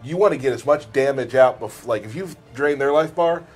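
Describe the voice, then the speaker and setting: A man's voice: mid-range voice, brisk, husky, soft background music. A man commentating on a game in casual, unscripted conversation.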